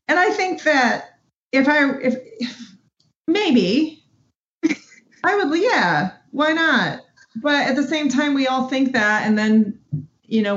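A woman taking part in a casual panel chat. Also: mid-range voice. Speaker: voice actor on a panel. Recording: echoey room, dull lo-fi audio.

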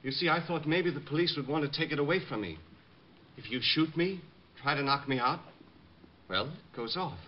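An actor playing a courteous but coldly menacing mad bomber in a vintage radio drama. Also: male voice, fairly high pitch, moderate pace, a little hiss or hum, natural voice. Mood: calm menace. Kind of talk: 1940s-50s radio drama acting. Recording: dull old radio recording.

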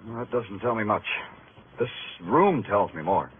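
An actor playing a hard-boiled New York police detective in a 1950s radio crime drama, with an American accent and a wry, clipped, world-weary manner. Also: male voice, lowish voice, lively intonation, a little slow, slight background noise. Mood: probing. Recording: muffled vintage radio broadcast with music.

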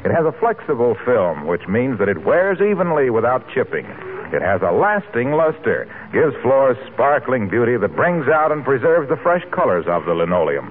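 A man's voice: mid-range voice, big pitch swings, moderate pace, slight background noise. Tinny 1940s American radio announcer, male. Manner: polished, formal salesmanship. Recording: tinny old radio broadcast with music.

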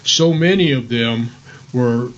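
A man's voice: medium pitch, slow. A man co-hosting a radio show in casual chat. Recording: slightly dull sound.

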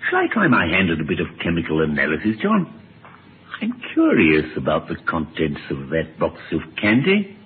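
An actor playing a genial, inquisitive older small-town doctor in an old-time radio drama, musing and avuncular. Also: male voice, low-pitched, huge pitch swings, normal speed. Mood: curious. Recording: tinny old radio recording, faint noise.

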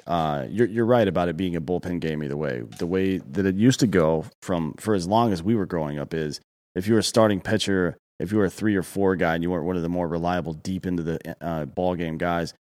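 Deep-voiced guy riffing sarcastically on sports, swears constantly. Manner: profane, sarcastic. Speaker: co-host. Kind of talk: casual sports chat.

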